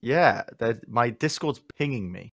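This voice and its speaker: A man's voice: medium pitch, animated, no room sound, clean studio sound. A man hosting a casual gaming podcast, joking around and hurrying the talk along. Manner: joking, playful, rushes along. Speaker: podcast host.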